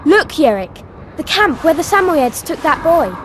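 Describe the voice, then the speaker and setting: A woman's voice: high-pitched, sing-song, normal speed, noisy background. A woman playing a bold, headstrong, adventurous young girl in a video game. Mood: eager, determined. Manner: eager, headstrong. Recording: music behind the voice.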